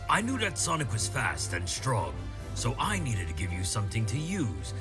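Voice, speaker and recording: A man's voice: on the low side, wildly swooping pitch, moderate pace, over music. A man voicing a scheming, evasive evil-scientist boss in a fan comic dub. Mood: explaining, evasive.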